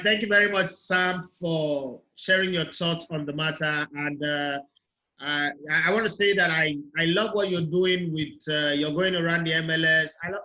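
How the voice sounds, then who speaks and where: Male interview host, Nigerian accent. Conversational, higher-than-average pitch, sharp forceful tone. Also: low-quality recording.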